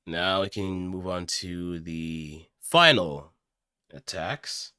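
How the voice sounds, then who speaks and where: Man acting as a cocky, forceful mecha pilot. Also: sits low, slow pace, clean tone, no room sound, studio quality, exaggerated voice. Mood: showy, intense. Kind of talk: anime game battle lines.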